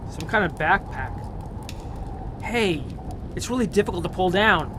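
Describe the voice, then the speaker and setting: An actor playing a nervous, watchful crewman on a polar expedition in a radio drama, hushed and anxious. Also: lively intonation, measured pace. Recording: heavy rumbling noise, slightly distant.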